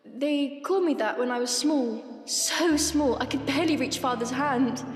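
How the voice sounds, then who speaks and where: A young woman acting a gentle, timid, selfless prisoner's daughter in a period audio drama, meek and earnest. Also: high-pitched, normal speed, lightly acted. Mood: quiet, wistful. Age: young adult. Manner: soft-spoken, meek, earnest. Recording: echoey hall reverb, faint music.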